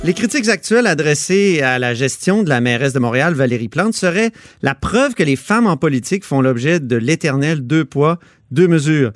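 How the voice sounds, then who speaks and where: A man's voice: on the high side, animated, normal speed, no echo, studio quality. A man hosting a radio interview, asking probing questions and interjecting often. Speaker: radio host.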